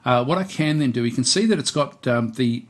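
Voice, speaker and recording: A man giving a casual, unscripted software tutorial, hesitant and thinking aloud as he presents. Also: medium pitch, lively intonation, brisk.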